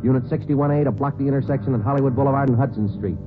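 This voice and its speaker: A man's voice: mid-range voice, rapid-fire, over music, close to an everyday voice. An actor playing a terse male police sergeant who narrates the case, clipped and matter-of-fact in 1940s radio drama style. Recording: tinny old radio broadcast.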